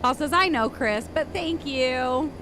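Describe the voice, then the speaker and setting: A woman's voice: sits high, unhurried, noisy background. A woman co-hosting a live stream, chatting casually and reading chat messages aloud with frequent laughs. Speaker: stream co-host.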